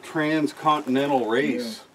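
A man's voice: on the high side, slow. A man chatting casually and explaining things to friends on a camping trip, picked up outdoors by a camera microphone. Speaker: camping companion and guide. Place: outdoors in the woods at a campsite. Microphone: camera microphone, a little away. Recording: noisy outdoor camera audio.

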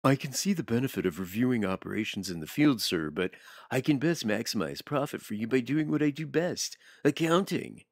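A man's voice: medium pitch, expressive, fairly quick, no echo, studio quality. A man voicing a timid, bookish cartel accountant, hesitant and deferential, in a motion comic. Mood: anxious, pleading. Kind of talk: motion comic character acting.

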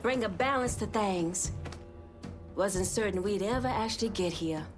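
A woman's voice: mid-range voice, normal speed, over music, close to an everyday voice. An actress playing a determined, solemn female crime underboss in a video game cutscene. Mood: solemn hope. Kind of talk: dramatic game cutscene acting.

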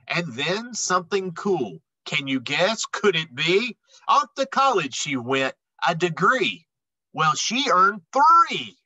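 A middle-aged man reading a children's picture book aloud expressively, with emphatic, dramatic phrasing and drawn-out words. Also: sits high, very animated, sharp tone, no room sound, lo-fi sound. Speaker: read-aloud storyteller.

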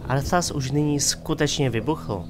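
A man's voice: medium pitch, a crowd in the background. A man giving scripted dramatic narration of a fantasy story as the narrator of an audio drama. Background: music.

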